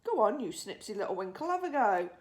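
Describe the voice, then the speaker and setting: Woman putting on an emphatic old giant man, lively swoops. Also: mid-range voice, quick pace, close to an everyday voice. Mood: disgusted, goading. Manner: emphatic, mangled grammar and invented words. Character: big friendly old male giant, emphatic, muddled speech. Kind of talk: children's storybook character reading.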